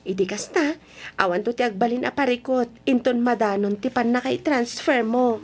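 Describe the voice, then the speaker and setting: A woman's voice: fairly high pitch, moderate pace, faint music behind, close to an everyday voice. A woman playing a smitten, giggly young woman in an Ilocano radio drama, speaking coyly. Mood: coy, affectionate.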